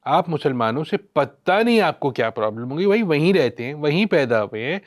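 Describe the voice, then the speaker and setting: A Pakistani man with a somewhat high, highly animated voice holds forth as a film commentator. He talks conversationally but with emphasis, throwing out rhetorical questions. A sarcastic edge runs through his opinions. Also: a little fast. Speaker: podcast guest, film critic.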